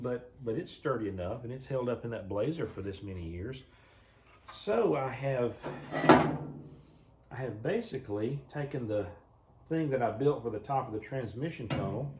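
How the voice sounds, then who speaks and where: A man, casually talking through his own jeep restoration in a workshop vlog, folksy and rambling, a little raspy this morning. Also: mid-range voice, heavy background noise. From Mississippi. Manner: folksy, rambling, thinking aloud.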